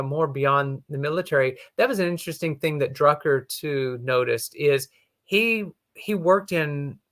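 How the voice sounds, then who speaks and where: A man explaining leadership lessons in a casual, thoughtful way, often pausing with "you know". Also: on the high side, sharp tone.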